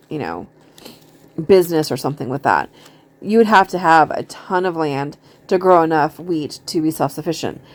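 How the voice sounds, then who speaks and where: A woman speaks in a somewhat low-pitched voice. She has the informal, wandering delivery of a hobby gardening vlogger, conversational and unpolished as she chats to viewers. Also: darkish tone. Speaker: gardening vlogger showing a seed haul. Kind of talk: casual chat, reading out seed packet notes.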